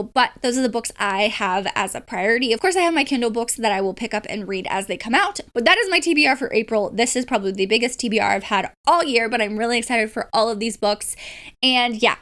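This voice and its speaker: A woman's voice: a little high, a bit bright. A woman chatting casually about books in an informal, enthusiastic way, like a book vlogger. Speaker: book vlogger and readalong host.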